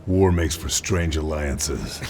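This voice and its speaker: Game sniper lead: extremely low, terse, wary and dry, over prominent music. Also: male voice, expressive, takes it slowly, full character voice. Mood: guarded, dry. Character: gruff, wry British special forces sniper named Carl. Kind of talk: cinematic video game dialogue and mission narration.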